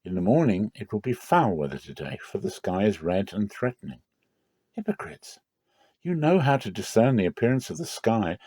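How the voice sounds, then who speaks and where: A man's voice: mid-range voice, dark tone, no room sound, voice pushed up. A man voicing a stern, authoritative male teacher who instructs emphatically, in a dramatic scripture reading. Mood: indignant rebuke.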